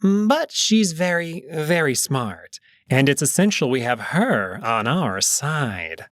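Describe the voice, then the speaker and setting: A man playing a shrewd, composed jackal schemer in a visual novel, speaking in a measured, formal, cautioning manner. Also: a little high, big pitch swings, fairly bright tone, dry recording, studio quality, full character voice. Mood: calm, cautioning. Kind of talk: visual novel character acting.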